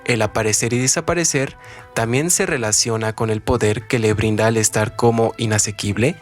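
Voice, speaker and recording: A man giving a formal, measured reading of scripted literary analysis as a radio co-presenter. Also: fairly low pitch. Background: background music.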